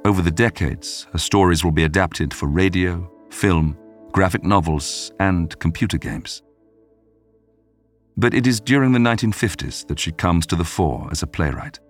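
A man narrating a scripted documentary podcast in a measured, polished storytelling manner. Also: deep voice, lively intonation, faint music behind.

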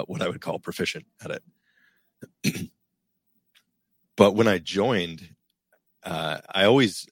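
Middle-aged male co-host, deep voice, rambling and self-deprecating. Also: gravelly, a bit bright, no echo. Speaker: podcast co-host. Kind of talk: casual podcast chat.

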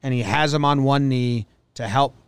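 A man's voice: mid-range voice, fairly even intonation, normal speed, clean tone, no room sound. A man hosting a sports podcast in a casual style, venting bluntly and swearing freely.

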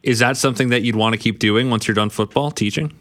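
A man hosting a sports radio show who asks brisk, friendly questions. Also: mid-range voice, a bit bright, no echo, studio quality.

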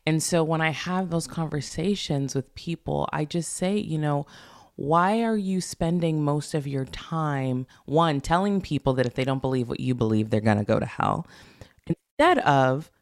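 Gravelly-voiced woman speaking reflectively. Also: low voice, normal speed, dry recording, studio quality. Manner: reflective, earnest, with frequent restarts. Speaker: guest. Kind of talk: casual conversation.

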